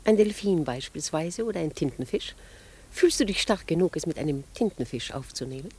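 An actress playing an elegant upper-class wife with a poised, coolly ironic manner, in 1950s German radio drama style. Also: female voice, deep voice, animated, a little fast, mellow, slightly rough. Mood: cool mockery.

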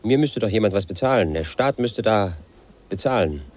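A brooding, resentful house painter in his late thirties, played by a man in a radio drama. His voice sits very low as he broods over old grudges in a bitter monologue. A faint hiss runs under the recording. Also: animated, moderate pace, a little mellow, close to an everyday voice. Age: late 30s. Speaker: protagonist narrating his life.

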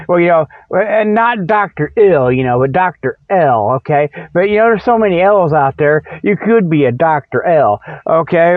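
A man's voice: high-pitched, no room sound. A man hosting a rambling comedic radio show, folksy and repetitive, with a habit of chuckling at his own jokes. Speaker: show host. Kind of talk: rambling comedic radio monologue with mock sponsor reads. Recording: tinny phone-like radio audio.

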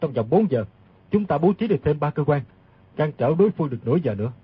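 A man's voice: fairly high pitch, big pitch swings, fast talker, breathy edge, dry recording, narrow, tinny audio, heavily acted. An actor playing a taciturn, calculating craftsman with a matter-of-fact delivery in a radio drama. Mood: calm, calculating. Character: taciturn axe-bearing craftsman, calm and calculating. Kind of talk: dramatised audiobook reading.

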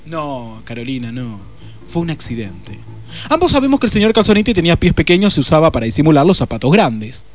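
A man's voice: a little high, very animated, fairly quick. An Argentine man playing a bumbling, evasive private detective with a hesitant, muttering manner in a radio play. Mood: flustered, evasive. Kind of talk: radio drama acting.

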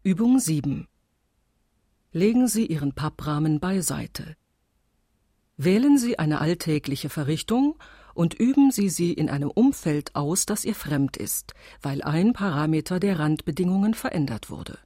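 Radio play: formal female instructor, detached, breathy and mellow, close dry sound. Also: low-pitched, expressive, unhurried, no room sound. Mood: detached, instructive. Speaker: exercise instructor.

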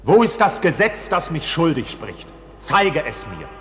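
A man's voice: fairly high pitch, very animated, unhurried, fairly bright tone, soft background music. An actor playing an accused man in a radio drama, defiant and anguished, with impassioned, theatrical delivery. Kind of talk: dramatic radio play acting.

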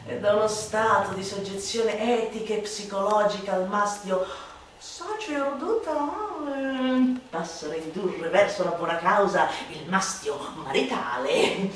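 A woman giving a theatrical, mocking, declamatory recitation of a satirical text, swinging between hushed slow phrases and loud sing-song. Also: medium pitch. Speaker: stage performer reciting a literary monologue. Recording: very echoey room, distant mic, light noise.

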